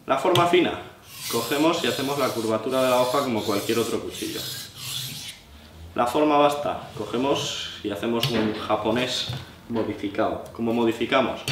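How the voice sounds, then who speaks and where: A man's voice: mid-range voice, background noise. A man with a La Mancha Spanish accent, explaining step by step how to sharpen a blade while he works at the stone. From Albacete, Spain. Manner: explanatory, practical, thinking aloud while working. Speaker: knife sharpening instructor. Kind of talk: hands-on tutorial demonstration. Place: indoor room at a table, working with a sharpening stone. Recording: roomy, distant sound with scraping of blade on stone.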